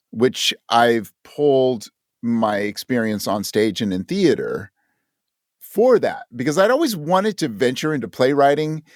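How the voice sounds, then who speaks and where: A man's voice: mid-range voice, no echo, studio quality. A man giving thoughtful, reflective answers as an interview guest, searching for his words as he explains. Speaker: podcast guest, audio drama creator.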